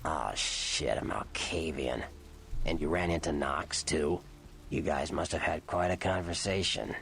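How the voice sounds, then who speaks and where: An actor playing a seedy, cynical vampire informant in a video game, sardonic and streetwise in manner. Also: male voice, a little slow, fairly bright tone, full character voice. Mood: sardonic, amused. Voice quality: gravelly, deep.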